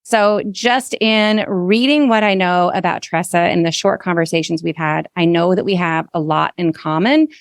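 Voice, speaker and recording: A woman's voice: fairly low pitch, normal speed, few pauses, dry recording, clean studio sound. A woman hosting a podcast, introducing and chatting in an effusive, encouraging way. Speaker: podcast host. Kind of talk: podcast introduction and conversational interview.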